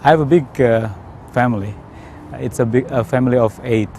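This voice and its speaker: A man from Indonesia with an Indonesian accent, telling his personal story in a hesitant, careful way with frequent pauses. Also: mid-range voice, a little mellow, slight background noise. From Indonesia, South Sumatra. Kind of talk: unscripted personal testimony.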